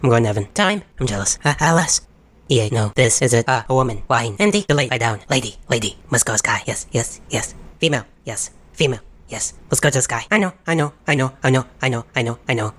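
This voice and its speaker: A man voicing an excitable robot in a video game parody, babbling in a disjointed, repetitive way. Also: mid-range voice, quick pace. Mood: excited babbling. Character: excitable, babbling male robot sphere speaking nonsense.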